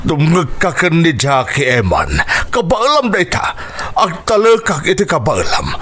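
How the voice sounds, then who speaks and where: Radio drama coach: intense motivator, forceful and urging, highly dynamic intonation. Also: male voice, wildly swooping pitch, a little slow, husky, full character voice. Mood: firm, encouraging.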